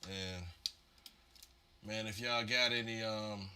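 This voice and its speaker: A man's voice: lowish voice, steady tone, slow, noisy background. A man from Virginia chatting casually on a live stream, rambling and slightly congested from a cold. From Virginia, USA. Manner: laid-back, rambling, many fillers and "man". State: congested, getting over a cold. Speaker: livestream host building modular synth patches.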